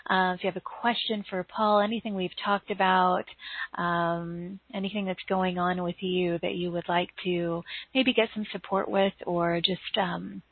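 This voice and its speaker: Husky woman hosting a call-in show. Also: lowish voice, little pitch movement, measured pace, no echo. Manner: gentle, warm, with frequent ums. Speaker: show host taking callers. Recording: dry phone-line audio.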